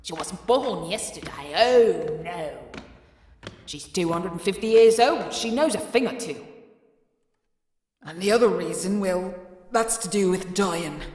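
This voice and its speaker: A woman's voice: lowish voice, a little slow, darkish tone, breathy edge. A woman voicing an eccentric, menacing, ancient street crone in a comic dub, rambling and cajoling with old-fashioned endearments. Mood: rambling, cryptic. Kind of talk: comic dub character acting.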